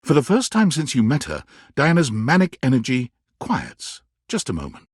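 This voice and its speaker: A man narrating a dating video game as a dramatic storyteller, reading scripted prose with a husky voice. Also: mid-range voice, wildly swooping pitch, normal speed, no room sound, studio quality. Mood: wry intrigue.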